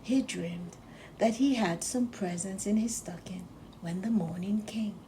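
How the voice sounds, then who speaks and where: A woman narrating a children's storybook aloud in a gentle, deliberate, expressive storytelling manner. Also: medium pitch, a little hiss or hum. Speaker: storybook reader.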